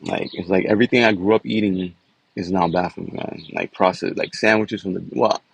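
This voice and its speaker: A man's voice: sits low, steady tone, a little fast, creaky, slightly rough, no room sound, rough recording. A man, talking in a loose, casual way and often tagging his sentences with "man" and "you know.". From New York City. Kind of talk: casual personal vlog talk.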